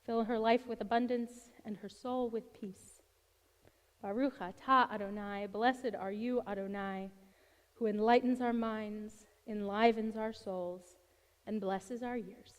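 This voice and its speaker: A woman of the clergy reciting a congregational blessing in a measured, prayerful cadence. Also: fairly high pitch, steady tone. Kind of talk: liturgical blessing. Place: sanctuary. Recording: long hall reverb.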